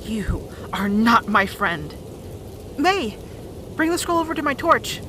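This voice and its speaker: A woman's voice: on the high side, very animated, slow pace, bright, forceful tone, slight background noise, exaggerated voice. A woman playing an earnest, determined young princess heroine, heartfelt, in a fantasy audio drama. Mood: urgent.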